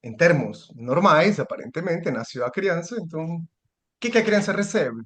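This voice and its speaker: A man's voice: a little high, lively intonation, normal speed, fairly bright tone. A man giving a seminar lecture, thinking aloud with restarts and rhetorical questions.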